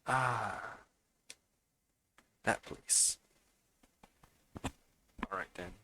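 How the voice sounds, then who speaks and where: Bright-voiced man, slow-paced gaming commentary. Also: on the low side, slight background noise. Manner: muttering to himself while playing. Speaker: livestream gamer. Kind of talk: casual let's-play commentary.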